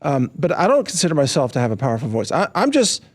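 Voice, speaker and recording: A man's voice: a little high, huge pitch swings, fast talker. A man hosting an interview, talking in a humble, earnest way and laughing easily. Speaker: podcast host. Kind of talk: casual interview chat.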